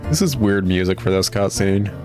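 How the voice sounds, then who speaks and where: A man's voice: sits low, lively intonation, normal speed, over music. A man giving a casual, blunt, sarcastic and exasperated critique while commenting on a video game. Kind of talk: casual game critique commentary, reading cutscene dialogue aloud.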